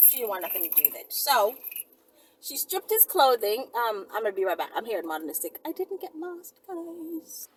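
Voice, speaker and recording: Animated high-pitched woman telling stories on the go. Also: moderate pace, slightly rough. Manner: chatty, animated, slangy, emphatic repetition. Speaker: vlogger telling stories to followers. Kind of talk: casual storytelling vlog chat. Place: out and about, on the move in town. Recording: distant mic, noisy vehicle ambience.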